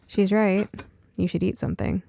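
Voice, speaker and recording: Woman doing a sweet teasing mom for a cozy storybook, reassuring, bit of creak, close dry sound. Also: medium pitch, flat delivery, normal speed, warm tone, no room sound. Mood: gently chiding. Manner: chuckling, reassuring, coaxing. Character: cheerful, caring, gently teasing mother.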